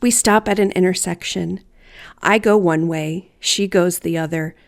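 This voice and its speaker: A woman storyteller reading a personal story aloud in a deliberate, theatrical, wryly humorous way. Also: lowish voice. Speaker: writer and storyteller.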